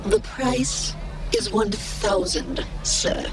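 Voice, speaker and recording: A woman's voice: mid-range voice, very slow, background noise. A woman voicing an indignant squid-like alien merchant who talks in a prim, formal, businesslike way in an audio story. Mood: indignant, firm. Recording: loud crowd bustle behind. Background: busy market crowd.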